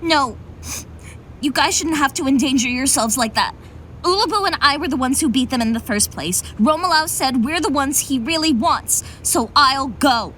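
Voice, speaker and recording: A woman's voice: high voice, lively intonation, moderate pace, a bit bright, a little hiss or hum. A woman voicing a loyal, brave young heroine in a fan audio drama, earnest and determined.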